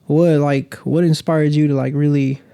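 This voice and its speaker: A man's voice: fairly high pitch, fairly even intonation, moderate pace, creaky, dark tone, clear, resonant tone, no echo. A man in his twenties co-hosting a podcast, chatting in an easygoing, slangy way with many fillers. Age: 20s. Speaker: podcast co-host. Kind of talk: casual chat. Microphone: studio podcast mic.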